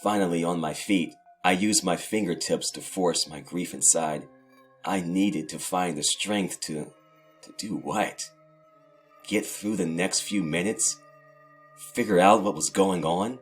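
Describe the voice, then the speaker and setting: A man's voice: low-pitched, a little slow, breathy edge. A man playing a grief-stricken young orphan, narrating his tragedy in a brooding, dramatic horror audio drama. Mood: lost, grieving. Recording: faint music under the voice.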